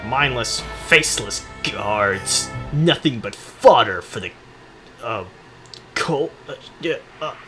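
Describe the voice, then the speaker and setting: A man's voice: mid-range voice, lively intonation, slow, music in the background. A man hosting casual comedic commentary on game cutscenes, joking and rambling in slangy speech. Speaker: video host.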